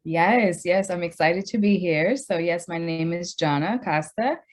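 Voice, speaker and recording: A woman in her thirties, from the Dominican Republic and raised in Brooklyn, tells her story as a guest on a radio show. Her voice is very low for a woman. She talks in a conversational way, with "you know" fillers and restarts, and her pace shifts between quick and slow. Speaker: educator, guest. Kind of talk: radio interview.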